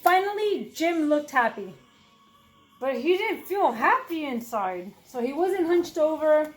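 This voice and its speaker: A woman reads a children's story in a high voice with a very lively melody. She goes slowly, like a teacher, and adds asides to her listeners. Faint music plays behind her. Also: rough recording. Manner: animated, slow, questioning asides to listeners. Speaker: read-aloud storyteller, teacher. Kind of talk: expressive children's picture book read-aloud with asides to children.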